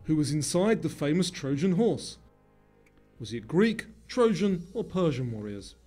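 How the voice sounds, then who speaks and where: Lively higher-pitched man presenting a quiz. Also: unhurried. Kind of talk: scripted quiz narration. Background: background music.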